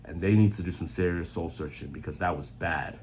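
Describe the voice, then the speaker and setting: A man's voice: low voice, fairly quick, a little mellow, a little hiss or hum. A man giving opinionated, off-the-cuff soccer commentary in a blunt, emphatic manner, repeating phrases for stress. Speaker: sports commentator.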